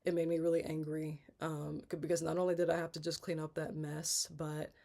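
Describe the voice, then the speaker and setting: A woman in her thirties speaks in a congested, gravelly voice with plenty of vocal fry. Her delivery is flat and even, halting, with frequent restarts as she rambles candidly through a personal vlog. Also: sits low. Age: 30s. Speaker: vlogger.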